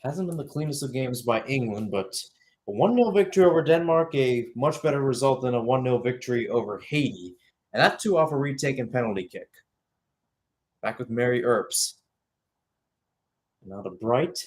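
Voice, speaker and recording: A man's voice: medium pitch, animated, moderate pace. A young man giving live radio-style soccer play-by-play in short clipped phrases, with asides and self-corrections. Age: young adult. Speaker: play-by-play sports commentator.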